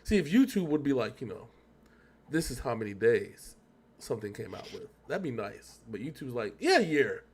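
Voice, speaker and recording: A man's voice: mid-range voice, sing-song, moderate pace, darkish tone, slight background noise. An American man hosting a reaction show in casual, slangy, rambling chat.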